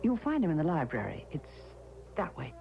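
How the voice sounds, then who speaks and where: An actress playing an anxious, inquisitive, devoted wife in an old radio drama, expressive and earnest. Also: female voice, deep voice, fairly quick, full character voice. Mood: reflective, uneasy. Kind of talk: old-time radio drama acting with first-person narration. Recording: dull old radio with music.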